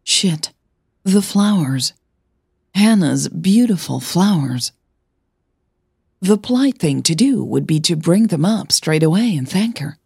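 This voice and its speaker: A woman narrator reads scripted fiction slowly and deliberately. Her voice is very husky and slightly low. It is recorded close and dry. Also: lively intonation, warm tone, no room sound. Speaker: podcast host and narrator.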